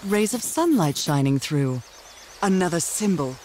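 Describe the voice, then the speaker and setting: An actress playing a wistful, curious 1930s woman explorer in a video game, thinking aloud in a gentle, articulate way. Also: on the low side, big pitch swings, a little slow, a little hiss or hum. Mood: musing. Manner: gentle, articulate, musing, wonder-struck.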